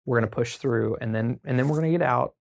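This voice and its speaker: An American man chatting casually as a podcast guest, telling stories informally and haltingly as he thinks aloud. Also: medium pitch, vocal fry. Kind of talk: casual conversational storytelling.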